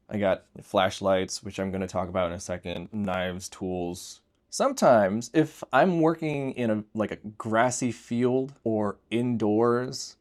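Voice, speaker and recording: A man with a fairly low-pitched voice hosts a relaxed show-and-tell video. His delivery is informal and unscripted, wandering loosely from point to point with an enthusiast's interest. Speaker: video host showing everyday tech gear. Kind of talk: casual chat, show and tell.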